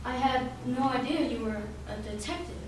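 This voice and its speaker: A woman playing a genteel, wealthy gentleman in old-time radio drama style. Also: on the high side, normal speed, natural voice. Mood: genteel, troubled. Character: genteel wealthy male estate owner hosting a reunion. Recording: very echoey hall, distant mic, faint noise.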